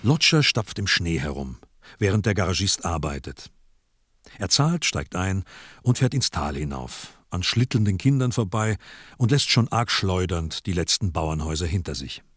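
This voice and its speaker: A man narrating a radio play from a script in a dramatic style, with clipped, deliberate phrasing. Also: low-pitched, lively intonation, a bit bright, slightly rough, no echo, clean studio sound.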